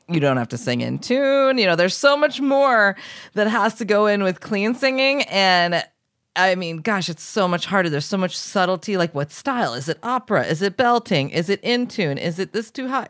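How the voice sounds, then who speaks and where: A woman hosting a casual podcast interview, a vocal teacher with a low voice who explains at length. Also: fairly bright tone. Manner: talkative, explaining in long runs.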